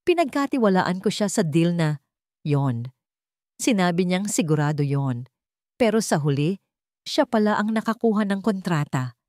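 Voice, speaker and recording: A woman's voice: on the low side, very animated, normal speed, pauses often, darkish tone, no echo, clean studio sound. Woman acting out a bitter, drunken, enraged father. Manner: angry, accusing, emphatic. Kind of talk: melodramatic storybook narration.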